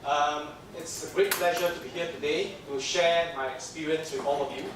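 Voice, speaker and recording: Singaporean-accented male lecturer, chatty, high-pitched, miked from far off in a reverberant hall. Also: fairly even intonation, moderate pace, crowd noise. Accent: Singaporean English. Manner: chatty, joking, many fillers. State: jet lagged. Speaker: dental lecturer. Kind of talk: conference lecture. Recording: echoey hall mic from far off, audience noise.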